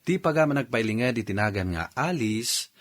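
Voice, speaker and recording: A man's voice: medium pitch, expressive, moderate pace. A man introducing a radio drama in a scripted announcing style.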